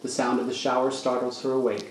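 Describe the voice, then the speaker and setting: A man narrating scripted stage directions for a radio thriller in a measured, matter-of-fact manner. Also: medium pitch, flat delivery. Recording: echoey room, faint noise.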